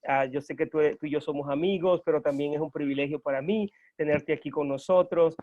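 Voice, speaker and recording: A man's voice: a little high, steady tone, fairly quick, a little mellow. A man hosting an interview, speaking formally with hesitant "ah" fillers. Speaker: interview host. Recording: dull lo-fi sound.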